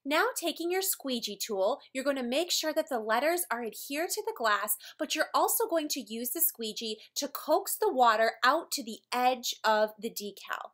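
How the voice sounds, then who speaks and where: A woman with a high-pitched voice narrates an instructional video. She presents from a script, walking through each step plainly and in careful order. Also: a bit bright. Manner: clear step-by-step explaining. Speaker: tutorial presenter for a decor company.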